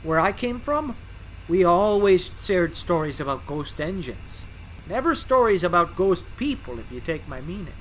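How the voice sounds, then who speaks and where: A man's voice: sits high, very animated, moderate pace, fairly bright tone, a little hiss or hum, low-quality recording. A man playing a timid, polite talking engine who hesitates and stammers, in an audio drama. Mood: tentative. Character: nervous, timid, polite young male engine. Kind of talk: audio drama character acting. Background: music, night insects.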